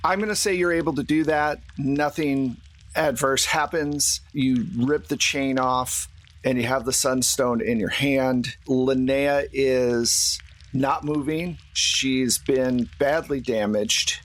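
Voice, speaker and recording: A man's voice: medium pitch, steady tone, slow. A man acting as game master, casually narrating a tabletop roleplaying game.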